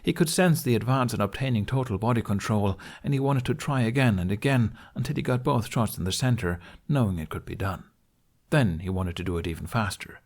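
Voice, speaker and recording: A man's voice: lowish voice, a little fast, a little mellow. A man narrating a fantasy story as an audiobook, reading scripted prose expressively.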